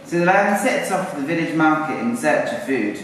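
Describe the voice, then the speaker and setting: A man's voice: on the high side, expressive, a little fast, fairly bright tone. A man narrating a story live, chatting informally and coaxing children with questions. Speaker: narrator and puppeteer. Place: live puppet show in arts centre. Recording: echoey hall, distant mic, faint noise.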